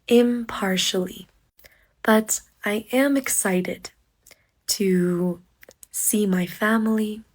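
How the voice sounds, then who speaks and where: A woman teaching English at an extremely slow, careful pace, breaking every sentence into short pieces. Her pitch sits in a middle range, and she sounds a bit congested and sick. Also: darkish tone. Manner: very slow, short phrases with long pauses. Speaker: podcast host and English teacher. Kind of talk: slow, deliberate English lesson monologue.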